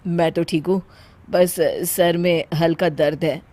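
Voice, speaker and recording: A woman's voice: on the low side, fairly even intonation, unhurried, mellow, dry recording, voice pushed down, lightly acted. Exasperated young husband, voiced by a woman. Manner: exasperated, raising his voice.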